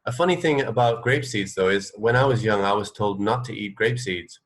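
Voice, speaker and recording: A man's voice: on the low side, a little fast. A man co-hosting a radio show, chatting casually about trivia in a hesitant, mildly wry way. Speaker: radio co-host. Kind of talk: casual chat, sharing trivia.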